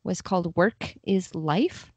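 A woman's voice: lowish voice, takes it slowly, darkish tone, slightly rough, no echo. A woman talking casually as an interview guest, explaining her work as a translator. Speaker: podcast guest, literary translator.

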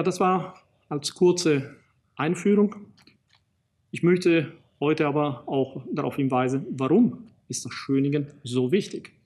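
A middle-aged man from Italy with an Italian accent, giving a public lecture as an archaeologist, pausing often with fillers and restarting sentences. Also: on the high side, a little mellow, slightly rough. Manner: halting, many fillers and restarts. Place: small studio in front of a banner.

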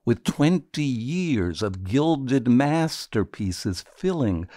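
A man performing poetry in an expressive, theatrical, deliberate reading style. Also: mid-range voice, no echo, clean studio sound.

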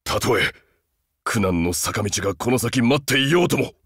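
A man's voice: mid-range voice, expressive, moderate pace, fairly bright tone, breathy edge, no echo, studio quality. A man voicing a huge, loyal, humble beast-man soldier in a game, haunted and earnest, speaking with stiff archaic military formality. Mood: bashful, earnest. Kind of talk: Japanese game character voice acting.

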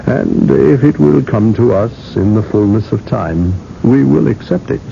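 An actor playing a shrewd, sardonic gatekeeper who probes and needles in a radio drama. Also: male voice, mid-range voice, expressive, creaky, dark tone. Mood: probing, knowing. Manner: probing, sardonic, deliberate. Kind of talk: 1980s radio drama acting. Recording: muffled, noisy old radio recording.

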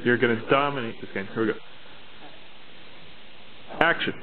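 A man's voice: mid-range voice, moderate pace, background noise. A man runs a video shoot from behind the camera, giving short instructions and coaching cues. His voice is recorded thin and phone-like, as if through a small camera mic. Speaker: cameraman and director. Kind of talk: directing a shoot. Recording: tinny phone-like camera audio.

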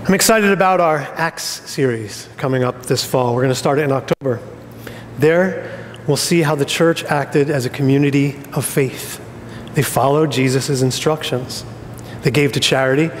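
Male pastor in a reverberant hall. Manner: deliberate, phrase-by-phrase pauses. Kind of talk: sermon and prayer. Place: church stage, indoors. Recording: reverberant hall, rumbling background noise.

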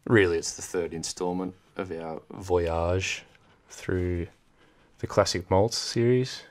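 A middle-aged Australian man co-hosting a casual whisky podcast, laid-back and swearing freely, reading facts in a low mumble. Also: fairly even intonation, takes it slowly. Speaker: podcast co-host. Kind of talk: casual chat over whisky tasting. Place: small home studio with barrel backdrop. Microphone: boom studio mic with headphones.

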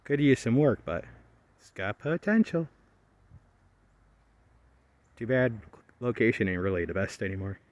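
A man's voice: fairly low pitch, animated, measured pace, long pauses, a little mellow, no echo. A man casually narrating as he hikes an abandoned rail line, rambling with lots of fillers, recorded outdoors on a handheld phone. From not local to the area. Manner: rambling, chatty, hesitant with fillers. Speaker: railfan vlogger. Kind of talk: casual walking commentary.

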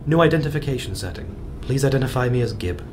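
A man playing a thoughtful, apologetic space mission leader in an audio drama, speaking in a gentle, musing, hesitant way. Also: expressive, fast, lightly acted. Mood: relaxed, curious. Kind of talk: naturalistic audio drama acting. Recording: clear low rumbling noise.

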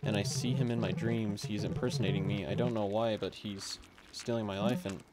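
A man's voice: fairly low pitch, fairly even intonation, a little fast, darkish tone, faint music behind, lightly acted. A man reading the part of a troubled husband in a horror game, in a grave, uneasy manner. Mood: anxious, defensive. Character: troubled, losing-his-mind husband narrating a horror game. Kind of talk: dramatic reading of game text.

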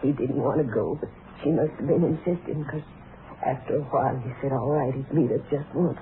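A woman's voice: extremely low pitch, a little fast. A woman playing a grieving, bitter older mother in a 1950s radio drama, speaking mournfully and earnestly. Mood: sad, insistent. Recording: noisy, narrow vintage radio recording.

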